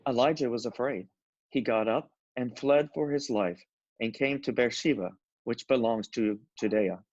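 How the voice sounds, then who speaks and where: Mid-pitched man narrating scripture, dry sound. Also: normal speed, a little mellow, dry recording, dull audio.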